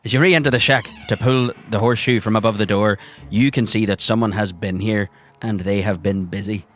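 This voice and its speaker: A man's voice: on the low side, fairly even intonation, fairly quick, fairly bright tone. A man working as game master, narrating scenes descriptively for a tabletop role-playing game.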